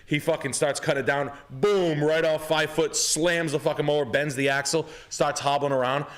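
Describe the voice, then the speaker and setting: A man's voice: a little high, moderate pace. A man, telling rambling, profane stories on a podcast. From New England. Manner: profane, rambling, animated storyteller. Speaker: podcast host. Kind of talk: casual storytelling.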